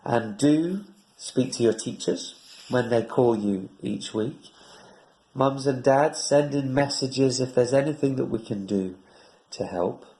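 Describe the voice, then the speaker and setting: A man's voice: mid-range voice, takes it slowly, dark tone, poor audio. A man from a school staff, speaking encouragingly to young pupils and their parents.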